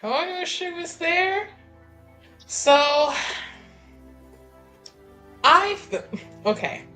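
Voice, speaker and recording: A woman's voice: very high-pitched, lively intonation, drawn-out words, sharp tone, soft background music. A woman playing a tabletop roleplaying game, casually and hesitantly thinking her way through the story.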